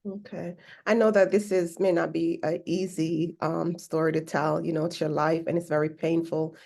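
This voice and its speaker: Lady podcast host, encouraging, kinda low voice, talks about grace. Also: flat delivery, normal speed, vocal fry. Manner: warm and encouraging, religious phrasing. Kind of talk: podcast interview.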